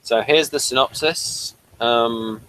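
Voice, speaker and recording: A man's voice: medium pitch, takes it slowly, bright, forceful tone, low-quality recording. Man with a slight speech impediment. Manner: hesitant, with fillers and self-corrections. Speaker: podcast host reading the synopsis. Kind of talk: casual review chat.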